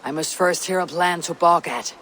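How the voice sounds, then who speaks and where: An actress playing a stoic, resolute young Viking war leader with a grave, measured delivery, in a dramatic game style. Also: female voice, fairly low pitch, a bit bright, a little hiss or hum, natural voice. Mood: resolute, tactical. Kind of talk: dramatic video game acting.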